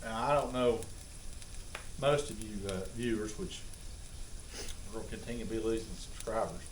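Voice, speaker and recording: A man's voice: on the low side, moderate pace. A middle-aged man with a Southern US accent, telling comic anecdotes in a rambling, folksy, exaggerating way. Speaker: storyteller on a home cooking vlog. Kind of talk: comic anecdote, casual chat. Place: home kitchen with food sizzling. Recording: roomy, distant mic, noisy kitchen.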